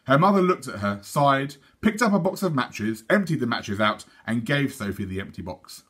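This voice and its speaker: Animated man reading a storybook. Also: medium pitch, breathy edge. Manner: measured, animated. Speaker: teacher reading a children's book aloud. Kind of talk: expressive storybook narration.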